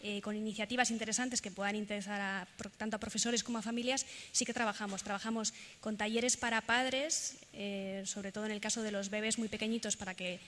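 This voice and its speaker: Bright-voiced woman leading a workshop. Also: medium pitch, steady tone, fairly quick, hardly any pauses, slight background noise. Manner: instructive, guiding a group. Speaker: workshop leader. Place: large hall. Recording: echoey hall, faint ambience.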